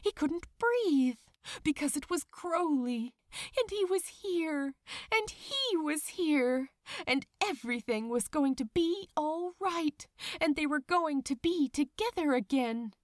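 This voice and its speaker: A woman reading fiction aloud as an audiobook narrator, with dramatic, deliberate phrasing. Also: sits high, lively intonation, no room sound, clean studio sound. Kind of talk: expressive scripted story narration.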